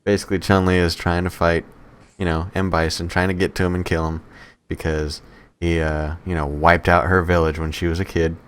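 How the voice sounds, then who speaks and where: A man's voice: sits low, level tone, brisk, slight background noise. A man co-hosting a podcast, chatting casually about films and swearing freely as he gives his opinions. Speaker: podcast co-host. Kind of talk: casual, profane banter about movies.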